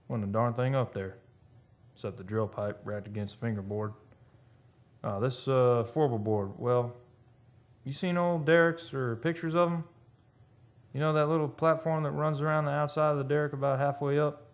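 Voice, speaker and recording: Actor as a folksy American oil rig hand. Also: male voice, mid-range voice, lightly acted. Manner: folksy, ungrammatical, explanatory. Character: plain-spoken, easygoing oil rig hand telling his tale. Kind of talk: radio play acting and narration.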